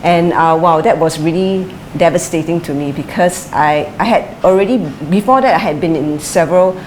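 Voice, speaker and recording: Lower-voiced middle-aged woman reflecting. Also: normal speed, darkish tone, rough recording. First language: English is her first language; Chinese is her second. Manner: thoughtful, with frequent fillers and restarts. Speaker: interviewee, former sprinter and coach. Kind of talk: reflective interview answers. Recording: light background noise.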